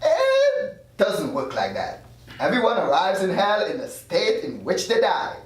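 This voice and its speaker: A man's voice: high voice, huge pitch swings, measured pace, full character voice. A man playing an evasive afterlife doctor in his thirties, read at a script table read. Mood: explaining. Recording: very echoey room, distant mic.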